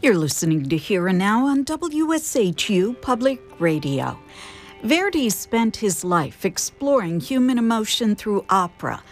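A woman's voice: medium pitch, sing-song, a little slow, soft background music. An American woman announcing for a public radio station in a scripted promo and sponsor read.

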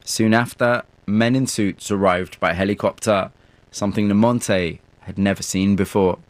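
A man's voice: lowish voice, fairly even intonation, moderate pace, no echo. A man hosting an interview in a studio, asking curious, probing questions and narrating between answers. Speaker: host and interviewer.

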